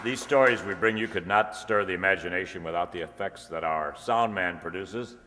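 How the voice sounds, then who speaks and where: A man's voice: sits low, brisk. A man announcing the credits of a live stage show as its master of ceremonies. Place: live hall before an audience. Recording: echoey hall with noisy audience. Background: audience noise.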